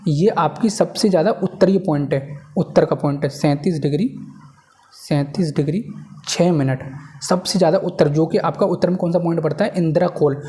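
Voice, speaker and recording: Mellow-voiced man teaching informally. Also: fairly high pitch. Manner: repetitive, checking understanding with tag phrases. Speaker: teacher for exam preparation. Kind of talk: informal lecture explaining at a board.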